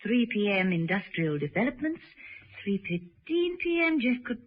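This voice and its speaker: Self-assured British woman agent, radio drama; animated, husky, brisk wit. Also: medium pitch. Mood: businesslike. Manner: wry, brisk, playful. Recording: dull lo-fi old radio recording.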